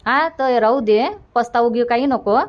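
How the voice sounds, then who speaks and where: A somewhat high old village mother from a cartoon, acted by a woman, recorded in dull lo-fi. She talks plainly and placatingly, resigned. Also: steady tone, normal speed, lightly acted. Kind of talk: Marathi cartoon comedy dubbing.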